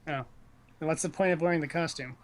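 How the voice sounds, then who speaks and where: A man's voice: fairly high pitch, fast talker. A man casually chatting as he watches a video game, teasing and joking in slangy talk. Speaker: commentator on a video game stream.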